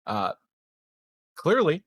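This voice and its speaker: A man playing a populist political candidate, giving grave, deliberate oratory against corruption in a tabletop game. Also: fairly high pitch, huge pitch swings, a bit bright, no room sound, voice pushed up, exaggerated voice. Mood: solemn accusing.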